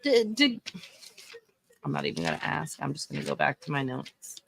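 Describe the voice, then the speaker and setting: A woman's voice: low voice, wildly swooping pitch, moderate pace, gravelly, fairly bright tone, slight background noise. A woman in her thirties with a Texan accent, giving chatty, opinionated live-stream commentary and often correcting herself. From Texas. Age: 30s. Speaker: streamer reviewing wrestling fashion.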